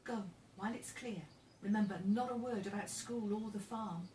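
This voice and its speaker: A woman's voice: mid-range voice, moderate pace, mellow, close to an everyday voice. A woman voicing a plucky, kind thirteen-year-old farm boy with an earnest, informal manner in a storybook reading. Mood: reassuring. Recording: roomy, distant mic, faint background noise.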